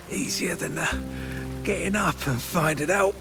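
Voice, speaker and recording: Weary old man, sickly, high thin pitch, creaky, breathy, coughing, halting, caring, video game character. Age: older. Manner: halting, faltering delivery.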